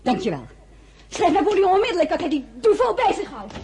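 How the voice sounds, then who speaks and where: A woman playing a spirited, proud and headstrong young merchant's daughter in a radio drama, with formal, expressive delivery. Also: very high voice, brisk, slight background noise, heavily acted. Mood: indignant. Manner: crisp formal refusals, indignant and expressive. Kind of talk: radio drama acting with first-person narration.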